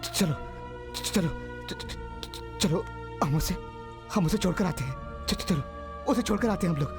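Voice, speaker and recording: An actor playing a guilt-ridden man who pleads haltingly and repeats himself, in emotional drama style. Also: high voice, sing-song, over music, heavily acted. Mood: anxious pleading.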